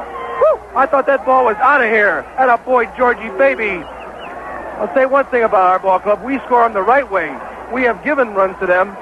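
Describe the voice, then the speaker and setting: An American man calling baseball play-by-play on 1970s radio as an excitable, partisan announcer. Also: very high voice. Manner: excitable, partisan home-team caller. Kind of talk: 1970s live radio sports commentary. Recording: dull, noisy vintage radio broadcast.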